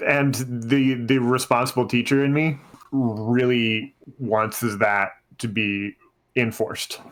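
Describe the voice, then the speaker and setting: A man's voice: medium pitch, little pitch movement, slow pace. A man hosting a podcast, talking casually and often repeating himself as he works toward his point. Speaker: podcast host; teacher.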